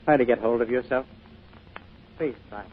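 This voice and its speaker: An actor playing an earnest, caring church minister who speaks in a pleading manner, in an old-time radio drama. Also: male voice, mid-range voice, moderate pace, slight background noise, natural voice. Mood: gentle, pleading. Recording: muffled old radio recording.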